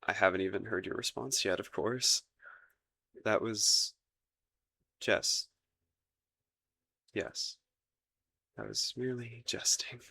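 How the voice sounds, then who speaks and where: A man's voice: on the low side, steady tone, takes it slowly, creaky, pauses often, bright, forceful tone, slightly rough, heavily acted. A man acting a shy, lovestruck young crown prince in a romantic roleplay audio, hesitant and stammering, with formal courtly phrasing. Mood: backpedalling, awkward.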